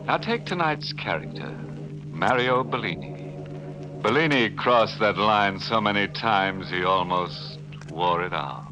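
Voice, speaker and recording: An actor playing a ghoulish, sardonic horror host, theatrical and darkly humorous in 1940s radio style. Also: male voice, low voice, low-quality recording. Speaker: host and narrator. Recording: band-limited old radio with organ music.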